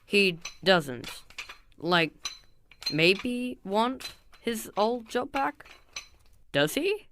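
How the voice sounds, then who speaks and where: A woman's voice: mid-range voice, sing-song, drawn-out words, pauses often, fairly bright tone, a little hiss or hum, exaggerated voice. A woman voicing a brash, impatient teenage boy sidekick in a comic dub, cocky, questioning and easily indignant. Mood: puzzled, probing.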